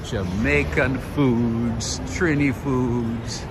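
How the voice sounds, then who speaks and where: A man hosting a live street walk, chatting in an unhurried, relaxed way and answering viewers' comments. His voice sits at an ordinary middle pitch. Loud outdoor city noise runs under everything he says. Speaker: livestream walking tour host. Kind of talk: casual live commentary reading chat.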